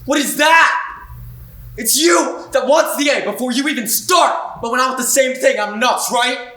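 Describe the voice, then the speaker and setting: An actor performing a monologue as a defiant young male student, proud and hurt, confrontational and building to shouts. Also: very high voice, measured pace, exaggerated voice. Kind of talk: dramatic monologue. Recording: roomy sound, mic far off.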